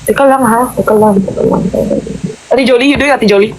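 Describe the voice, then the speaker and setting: A woman's voice: on the high side, fast talker, slight background noise, rough recording. A woman with a Filipino accent hosting a livestream, chatting and teasing casually in mixed Tagalog and English. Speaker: livestream host.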